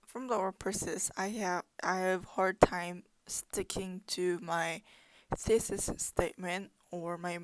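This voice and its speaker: A woman's voice: fairly low pitch, steady tone, slow, a little hiss or hum. A young woman whose first language is Korean, presenting as a student in a thoughtful way, with frequent fillers and self-corrections. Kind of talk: unscripted presentation.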